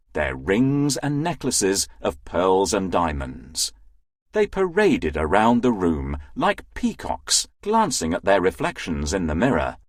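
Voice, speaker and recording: A low-pitched, husky storyteller reads a children's fairy tale. The delivery is unhurried and very expressive, recorded dry and close. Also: no echo.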